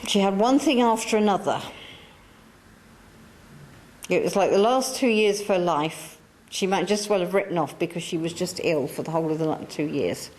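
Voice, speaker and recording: A woman's voice: lowish voice, normal speed, darkish tone, low-quality recording. Woman, chatty coaxing medium. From England. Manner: coaxing, chatty, repeats herself. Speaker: spiritualist medium. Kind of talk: mediumship demonstration to a church audience. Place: church hall.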